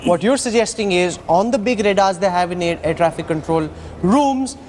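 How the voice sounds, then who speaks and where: An Indian-accented man hosts a news discussion in a distinctly high voice. He puts dramatic, probing questions to his guests. Slight noise sits behind him. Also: moderate pace, darkish tone. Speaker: news anchor. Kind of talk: broadcast news interviewing.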